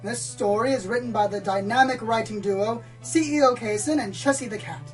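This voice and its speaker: A woman's voice: on the high side, a little fast, distant mic, soft background music, close to an everyday voice. A woman playing a pompous, dramatic male pony storyteller who loves noir detectives, florid and self-important, in a comedic radio play. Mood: earnest, grand. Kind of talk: comedic radio play, hardboiled narration parody.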